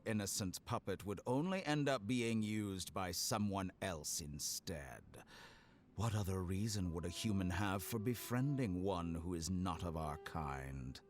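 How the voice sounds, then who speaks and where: Game villain: a low, unhurried, mockingly courteous mad scientist, sweeping intonation, music behind. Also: male voice, animated. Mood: gloating, cruel. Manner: slow, deliberate, mockingly courteous. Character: suave, sadistic villain scientist, calm and mockingly polite.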